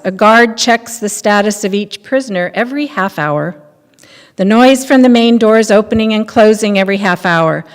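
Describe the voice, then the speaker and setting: Older woman reading aloud in reverberant hall. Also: medium pitch. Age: 60s or older. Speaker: readers theater performer. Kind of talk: scripted reading of testimony. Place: temple sanctuary at a lectern. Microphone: boom mic on a stand at lectern. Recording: echoey hall with long reverb.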